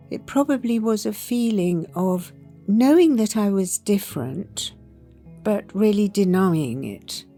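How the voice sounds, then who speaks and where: An older woman from Iran, a writer telling her life story in a thoughtful, unhurried way with soft pauses. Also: mid-range voice. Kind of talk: reflective personal storytelling.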